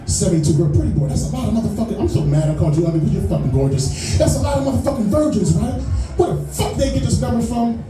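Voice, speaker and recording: A man's voice: high-pitched, expressive, fast talker, echoey room, recorded from a distance, music in the background, rough recording. A man doing stand-up crowd work, loose and crude, often laughing at his own jokes. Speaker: stand-up comedian. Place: comedy club stage.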